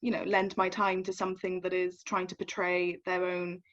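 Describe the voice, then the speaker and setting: A woman's voice: fairly low pitch, monotone, normal speed, dull audio. A young woman, chatting in a thoughtful, casual way about literature. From South Wales. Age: young adult.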